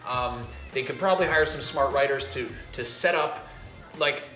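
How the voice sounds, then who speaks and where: A man talking casually as a panelist in a relaxed back-and-forth discussion. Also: medium pitch, normal speed. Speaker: panelist on a video game talk show. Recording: roomy sound with music behind.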